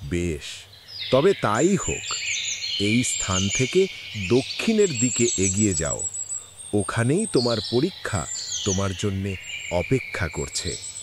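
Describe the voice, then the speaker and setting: A man's voice: on the low side, big pitch swings, slow, long pauses, very noisy, heavily acted. A man voicing a blind, wise old man in a moral-story cartoon, with a solemn delivery. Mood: solemn, mysterious. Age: older.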